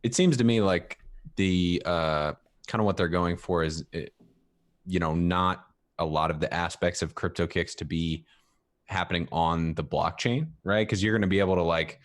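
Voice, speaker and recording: A man with a very deep voice weighs points slowly on a casual podcast, pausing and restarting as he thinks. The sound is dry and close. He sounds like a co-host. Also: fairly even intonation, dry recording. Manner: measured, reflective, some hesitation. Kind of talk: casual panel discussion.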